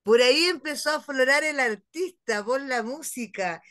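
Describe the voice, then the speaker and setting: A woman's voice: high voice, normal speed, fairly bright tone. A woman in her forties with a Chilean accent, interviewing casually over a video call from home. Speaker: interviewer, host. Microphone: computer mic on a video call.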